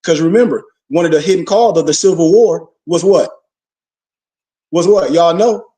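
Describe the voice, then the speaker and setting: A man's voice: fairly high pitch, normal speed, warm tone, far from the mic. A man giving an informal religious lecture, preachy, full of rhetorical questions and call-and-response. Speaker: religious teacher.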